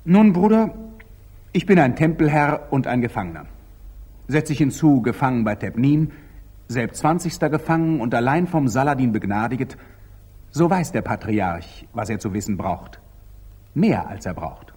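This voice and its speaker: An actor playing a proud, curt, sardonic knight templar in a theatrical 1940s German radio drama. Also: male voice, mid-range voice, lively intonation, normal speed, a little mellow, breathy edge. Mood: proud, grave. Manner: clipped, theatrical, wry.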